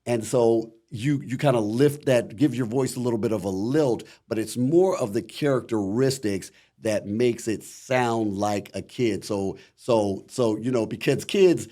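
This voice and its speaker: A middle-aged man with a deep voice and a Midwestern American accent, chatting reflectively as a voice actor guest in an interview. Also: measured pace, hardly any pauses, warm tone. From Akron, Ohio, USA. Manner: rambling, many "you know" fillers, reflective. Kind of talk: casual interview talk.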